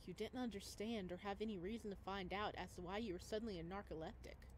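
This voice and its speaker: A woman's voice: mid-range voice, fast, a little hiss or hum. A woman reading visual novel narration aloud as a let's player, expressively, with chatty casual asides. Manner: expressive reader who breaks into chatty asides. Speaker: video game let's player. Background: background music.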